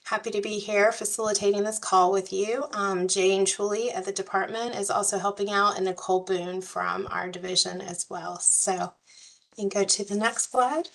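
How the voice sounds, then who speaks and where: A woman, hoarse from a cold, facilitating an online work group meeting in a friendly, procedural way. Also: mid-range voice, steady tone, moderate pace, fairly bright tone. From US South. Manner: friendly, procedural, uses y'all. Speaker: meeting facilitator, policy advisor. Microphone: video call.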